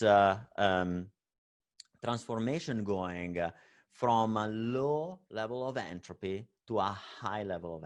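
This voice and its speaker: Italian guy giving a tech talk online, low voice, lots of uhs and right?s. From Italy. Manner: many fillers and hesitations, frequent "right?" tag. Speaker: conference speaker. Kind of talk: conference talk presentation.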